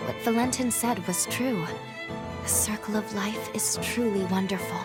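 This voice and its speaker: A woman's voice: mid-range voice, moderate pace, over loud music, close to an everyday voice. An actress playing an earnest female warrior comrade in a dramatic video game scene. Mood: moved.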